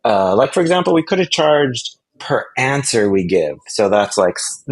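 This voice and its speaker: A man speaking casually as an interview guest, animated and emphatic, rambling through false starts and repeated words. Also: medium pitch, normal speed. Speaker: interview guest, product executive.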